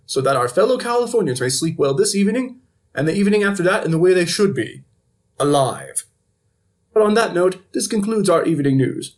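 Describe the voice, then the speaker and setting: Man acting as evening news anchor, slightly high voice, wry, flippant, opinionated, fictional broadcast delivery. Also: close to an everyday voice. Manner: wry, editorializing. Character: flippant male TV evening news anchor covering a zombie outbreak. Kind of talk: scripted news reading with wry personal asides.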